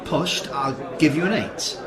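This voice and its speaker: A man's voice: a little high, measured pace, a loud crowd, natural voice. A man playing an awkward, plain-spoken young man in a comic radio play, incredulous and stumbling over his words. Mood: awkward, placating. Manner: hesitant, stammering, incredulous. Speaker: actor in a short comedy play. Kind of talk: comic two-hander radio drama dialogue.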